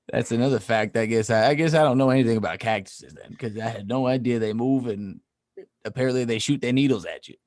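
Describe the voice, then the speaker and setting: A man's voice: medium pitch, a little fast, darkish tone, dry recording. Man, podcast co-host, easygoing teasing banter, dry close sound. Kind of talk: casual chat.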